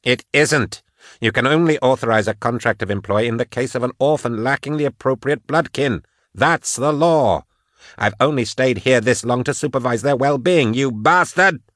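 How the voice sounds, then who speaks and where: Man playing a sharp, defiant young woman. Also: mid-range voice, moderate pace, no room sound, studio quality, pitched above the performer's own voice, close to an everyday voice. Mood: angry, accusing. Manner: sharp, forceful, insistent. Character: defiant, hot-tempered young adult woman. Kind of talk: audiobook character acting.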